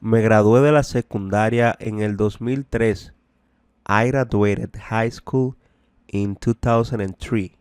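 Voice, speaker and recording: Guy teaching english bilingually, low voice, really slow and deliberate, repeats phrases, dry close sound. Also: steady tone, a little mellow, clear, resonant tone, no echo. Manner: deliberate, repetitive, explanatory. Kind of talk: bilingual language lesson, translating and repeating phrases.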